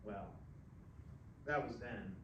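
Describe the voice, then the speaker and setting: A man's voice: lowish voice, lo-fi sound. A man reading a first-person short story aloud in a dramatic, folksy storytelling manner, recorded at a distance in a roomy space. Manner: folksy, unhurried cowboy storytelling. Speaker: actor reading fiction aloud. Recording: roomy, distant mic with faint noise.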